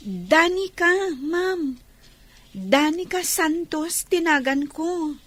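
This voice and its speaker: A woman's voice: very high voice, expressive, very slow, a bit bright, heavily acted. An actress playing a polite, grateful and shy young woman trainee in a radio drama, speaking deferentially. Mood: grateful, respectful.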